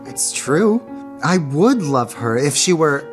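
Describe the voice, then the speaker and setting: An actress in a radio play as an ardent, earnest lover with a husky voice and a fervent, poetic delivery. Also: female voice, low-pitched, big pitch swings, slow, exaggerated voice. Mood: uneasy, questioning. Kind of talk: radio theater acting. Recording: music under the dialogue.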